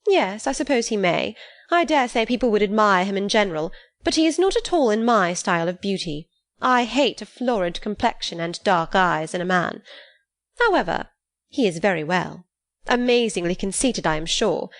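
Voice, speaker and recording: A woman reading an audiobook, voicing an affected, gushing young woman who talks in high-flown exclamations. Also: lively intonation, normal speed, lightly acted.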